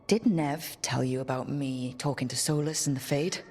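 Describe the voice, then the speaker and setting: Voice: mid-range voice, moderate pace, a little mellow, slightly rough. An actor playing a young fantasy team leader in a video game, earnest, frank and a little unsure. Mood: uneasy. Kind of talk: video game dialogue acting. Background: background music.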